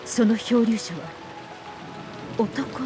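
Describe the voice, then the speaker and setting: A woman's voice: a little high, slow, music in the background. An actress playing a proper, haughty middle-aged lady of a wealthy house in a visual novel, formal and commanding. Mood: stern.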